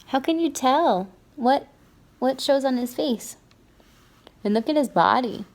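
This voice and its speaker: A woman's voice: high-pitched, animated, unhurried. A woman reading a children's picture book aloud expressively, stopping to put questions to young listeners.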